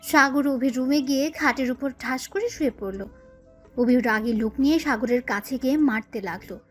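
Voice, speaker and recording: A woman narrates a scripted audio story. Her voice sits high, and music plays behind her. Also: moderate pace. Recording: music bed under the voice. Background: background music.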